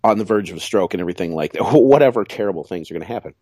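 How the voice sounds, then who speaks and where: Joking man with a low voice. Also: lively intonation, racing, a little mellow, no echo, studio quality. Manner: jokey, rambling, self-mocking. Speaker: podcast co-host. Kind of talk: casual chat.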